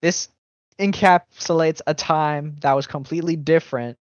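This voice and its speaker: A man's voice: on the high side, fairly even intonation, normal speed, no room sound. A young man in casual podcast chat who rambles with lots of "like" fillers. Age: young adult. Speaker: music collaborator and co-host. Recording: dry, dull lo-fi mic.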